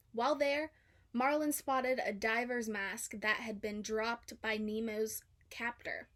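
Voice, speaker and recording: A woman reading a children's storybook aloud as a narrator. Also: fairly high pitch. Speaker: storybook reader.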